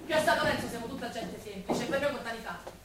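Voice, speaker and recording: A woman's voice: a little high, racing, lightly acted. An actress playing a blunt, suspicious working-class woman with a Roman accent, in a theatrical stage style. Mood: sarcastic, accusing. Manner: blunt, direct. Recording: distant echoey stage recording with noise.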